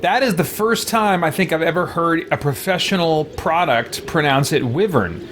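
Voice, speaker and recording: A man in his thirties giving casual gameplay commentary, thinking aloud in a halting way. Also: fairly high pitch, a bit bright, a little hiss or hum. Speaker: let's play host. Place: small home room with shelves.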